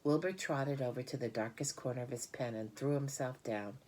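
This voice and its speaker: A woman with a very deep voice narrates a children's storybook. She reads from the text as an audiobook reader, her pitch sitting unusually low for a woman. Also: fairly quick, darkish tone.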